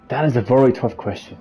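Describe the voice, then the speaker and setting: A man's voice: mid-range voice, dark tone. A man with a Cork Irish accent hosting a casual live stream, joking and ranting with lots of swearing. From Ireland. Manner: profane, jokey, ranting. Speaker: livestream host. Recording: slightly muffled stream audio.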